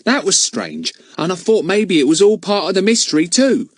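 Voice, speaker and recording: A man's voice: high voice, normal speed, no echo, pitched above the performer's own voice. A man reading aloud and voicing a sulky, stubborn boy who protests indignantly. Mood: eager. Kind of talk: audiobook character voice.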